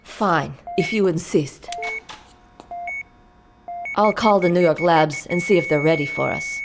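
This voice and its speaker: A woman's voice: on the low side, measured pace, background noise. A woman voicing a cold, clinical female scientist in a game cutscene, speaking with precise detachment. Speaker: scientist doctor.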